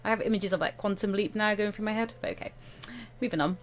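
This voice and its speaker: A woman's voice: medium pitch, quick pace. A woman doing a casual gaming read-aloud, chatty and jokey with offhand side comments and the odd stumble. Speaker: gaming let's player reading a visual novel aloud. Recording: music behind the voice. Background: music.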